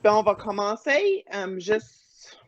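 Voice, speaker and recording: A woman's voice: medium pitch, big pitch swings, slow pace, a little hiss or hum. Dull-sounding woman hosting a call. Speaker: host. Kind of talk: hosting a video call event.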